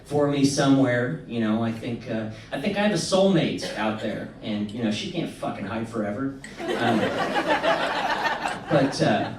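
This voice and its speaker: A man doing a stand-up comedy set in a halting, self-deprecating way. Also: mid-range voice, darkish tone, slight background noise. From Missouri, United States. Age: 20s. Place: indoor comedy venue. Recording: echoey club set from far off, crowd laughter. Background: audience laughter.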